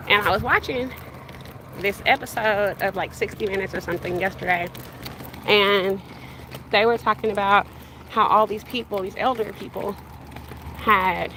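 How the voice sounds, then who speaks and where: A woman in her thirties, from the Bahamas, chatting casually and rambling to her livestream viewers while walking outdoors with a stroller, recorded on a handheld phone. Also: mid-range voice. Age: 30s. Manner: rambling, conversational, addresses viewers directly. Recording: street noise outdoors.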